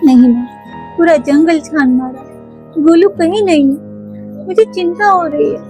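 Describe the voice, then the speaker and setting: Woman as a high-voiced earnest little calf girl. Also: measured pace, mellow, faint music behind. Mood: worried. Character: young calf girl searching earnestly for missing brother. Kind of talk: children's toy cartoon storytelling.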